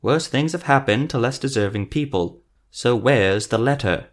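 A man's voice: medium pitch, moderate pace, natural voice. A man voicing a mocking, coolly threatening sorcerer who interrogates people in a fantasy audiobook. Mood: cool menace. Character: sardonic, menacing male sorcerer interrogator.

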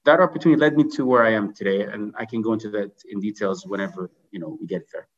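A man's voice: on the low side, fast talker, dull audio. A middle-aged man tells his story in a casual, conversational interview style, often checking in with "right?". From grew up in Dubai and Canada. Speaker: podcast guest, insurance business executive.

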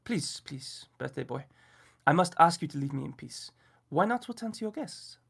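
A man's voice: fairly high pitch, fairly quick, a bit bright, breathy edge. A man voicing a fussy, aloof male detective who puts on a mock French accent and speaks in precise, formal, haughty phrases. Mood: haughty, dismissive.

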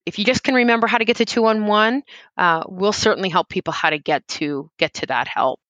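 A woman's voice: medium pitch, brisk, fairly bright tone, dry recording, dull audio. A woman representing a helpline, answering interview questions in an earnest, explanatory way with frequent "you know" fillers.

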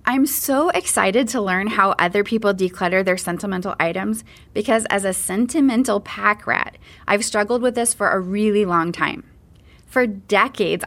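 A woman's voice: mid-range voice, fairly bright tone. A woman hosting a podcast, opening with a scripted, open and personal introduction. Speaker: podcast host.

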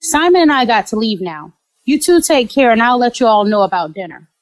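An actress playing a sweetly sociable, scheming neighbour in an audio drama. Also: female voice, fairly high pitch, expressive, fairly quick, no echo, lightly acted. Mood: neighbourly. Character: scheming, sugary-sweet pregnant woman neighbour and friend.

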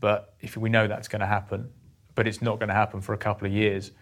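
A man hosts a finance podcast at a quick clip, talking in a low, level voice. He is brisk and conversational, and pokes fun at himself as he puts questions to his guest. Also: monotone. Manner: brisk, conversational, self-deprecating. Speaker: podcast host, COO. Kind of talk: casual finance chat.

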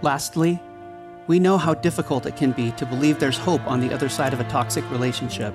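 A man's voice: medium pitch, fairly quick, over music. A man reading a scripted podcast outro in an earnest, supportive manner. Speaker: podcast cast member and announcer.

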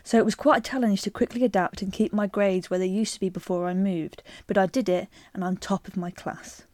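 A woman co-hosting a podcast, chatting casually and reading a listener's email aloud, lively and quick to laugh. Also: medium pitch, no room sound. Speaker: podcast co-host.

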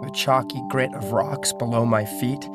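Guy acting earnest reporter husband in an audio drama, loud plucked guitar music under him. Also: mid-range voice, steady tone, moderate pace, close to an everyday voice. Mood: playful, tender. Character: earnest male journalist and narrator, affectionate husband. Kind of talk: theatrical audio drama narration and dialogue.

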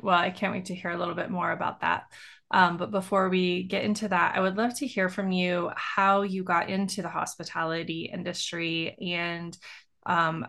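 A woman hosting a podcast, welcoming her guest and asking measured, enthusiastic questions. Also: on the low side, vocal fry, a bit bright. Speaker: podcast host.

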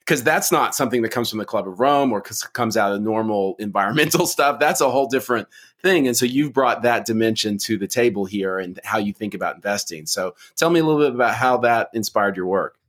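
A man's voice: lowish voice, brisk, a bit bright. A man hosting a conversational interview, asking curious questions with frequent stumbles and restarts. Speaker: podcast host.

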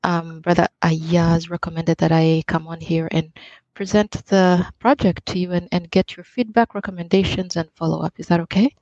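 A woman's voice: on the low side, level tone, moderate pace, a little mellow, dry recording. A woman from Uganda calling in to a live online show, explaining her own project. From Uganda, East Africa. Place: online video call. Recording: dull, lo-fi online call.

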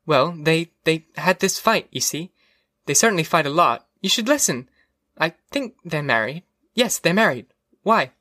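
Man voicing a vague, high-pitched drowsy wife. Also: expressive, bright, forceful tone, no room sound, clean studio sound. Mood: defensive, vague. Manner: airy, offhand, halting. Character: vague, detached, drowsy wife in a dystopian novel.

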